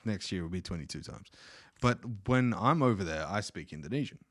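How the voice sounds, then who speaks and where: Foul-mouthed man, lively low voice. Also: brisk, dry recording, studio quality. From Australia. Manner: crude, swears a lot, loose and joking. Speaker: podcast host. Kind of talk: casual profane interview chat.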